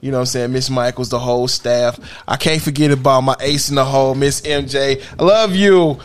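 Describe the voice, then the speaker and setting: A man co-hosting a podcast from a home studio, joking loudly and laughing often in crude casual banter. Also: mid-range voice, brisk. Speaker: podcast co-host. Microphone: studio mic on desk stand, headphones.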